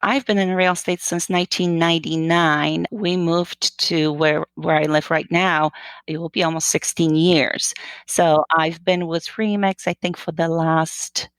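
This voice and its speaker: A woman's voice: on the low side, steady tone, a little slow, clean tone, dry recording. A woman in her fifties from Poland, a guest telling her story in a casual interview, chatty, with many fillers and restarts.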